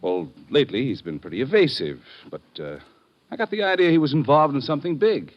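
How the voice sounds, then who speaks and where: An actor playing a chatty, probing American newspaper correspondent in an old radio drama, casual and colloquial. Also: male voice, medium pitch, big pitch swings, brisk, lightly acted. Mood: breezy, probing. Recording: dull vintage radio recording.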